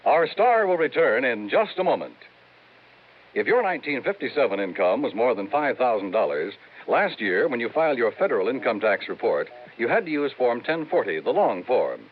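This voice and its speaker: A man announcing in a crisp, formal 1950s radio style. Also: medium pitch, very animated, moderate pace, slight background noise. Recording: tinny old broadcast recording.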